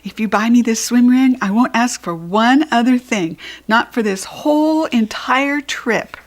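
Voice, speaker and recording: An excitable little girl from a children's storybook, acted out by a woman. Her voice sits high, and she is gushy, stubborn and dramatic. She begs and insists in emphatic, repetitive childlike phrases. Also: a little slow, natural voice. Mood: pleading.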